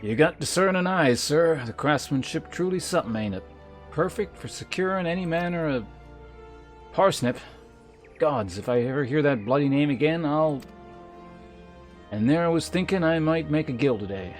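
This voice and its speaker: A gruff market tinker from a fantasy game, acted by a man. He talks in a rough, colloquial way and has a grudging streak, with music clearly behind him. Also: a little high, expressive, normal speed, natural voice. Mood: salesmanlike. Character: gruff, grudging rope tinker sick of his creditor.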